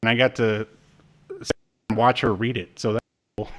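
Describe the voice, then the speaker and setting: A man's voice: medium pitch, slow. A man in his thirties hosting a podcast in a casual, joking, self-deprecating way. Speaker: podcast host. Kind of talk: casual chat.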